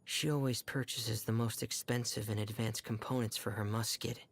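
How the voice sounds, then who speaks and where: A man voicing a shy, reserved young diver in a video game, speaking quietly. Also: mid-range voice, steady tone, moderate pace, vocal fry, very noisy, close to an everyday voice. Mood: subdued. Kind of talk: video game character voice line.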